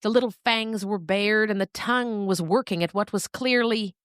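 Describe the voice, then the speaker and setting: A woman narrating a scripted story expressively, with dramatic pauses. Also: mid-range voice, smooth, dry recording, studio quality.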